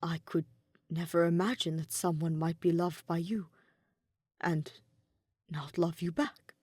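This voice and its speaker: A woman's voice: fairly low pitch, fairly even intonation, measured pace, darkish tone, breathy edge, no room sound. A woman voicing a guarded, dour nobleman spymaster with a formal, dry, restrained manner in a story reading. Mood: subdued, vulnerable.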